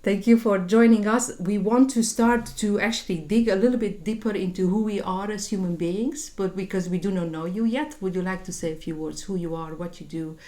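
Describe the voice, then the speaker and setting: A woman hosting a podcast, reading a formal introduction in a measured way and then asking the guest questions. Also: medium pitch, warm tone. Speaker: podcast host.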